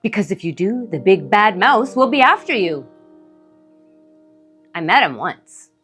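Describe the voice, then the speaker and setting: A woman's voice: medium pitch, very animated, unhurried, fairly bright tone, soft background music. A woman voicing a monster father in a storybook, giving ominous warnings about a frightening beast. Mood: cautioning. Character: old monster father, gruff, cautionary storyteller.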